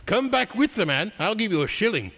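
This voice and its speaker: An actor playing a joyful, giddy old reformed miser with exuberant, effusive delivery in a theatrical radio drama. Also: male voice, high-pitched, big pitch swings, fairly quick, a bit bright, full character voice. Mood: excited, delighted. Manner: exuberant, effusive, laughing, exclaiming. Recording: reverberant hall sound.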